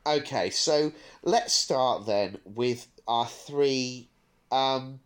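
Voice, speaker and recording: A man's voice: medium pitch, very slow. A man hosting a podcast in casual review chat, thinking aloud in a discursive way. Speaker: podcast host.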